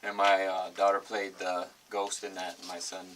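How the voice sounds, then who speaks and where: A man's voice: deep voice, completely flat delivery, moderate pace. A man talking as a guest about his film, in a chatty, loose way. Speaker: filmmaker guest. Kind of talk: casual interview chat. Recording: distant mic with faint noise.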